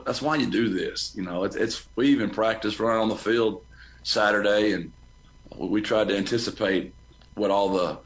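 College football coach, American man, lowish voice, speaking casually as in an interview. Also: moderate pace, low-quality recording.

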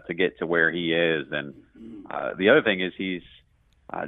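A man giving conversational sports analysis as a radio play-by-play guest, in a halting way with self-corrections. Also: deep voice, dry recording, narrow, tinny audio.

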